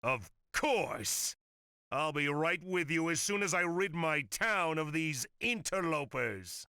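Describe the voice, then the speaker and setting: An actor playing an indignant, vengeful town lord and general in a video game. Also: male voice, a little high, animated, takes it slowly, bright, forceful tone, smooth, no room sound, clean studio sound, heavily acted. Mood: outraged, forceful. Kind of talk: video game cutscene acting.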